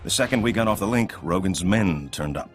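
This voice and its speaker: Deep, expressive, wry operator. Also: male voice, fairly quick, soft background music. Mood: urgent. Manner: sardonic. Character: wry male underworld operator working for a senator. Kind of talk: video game cutscene acting.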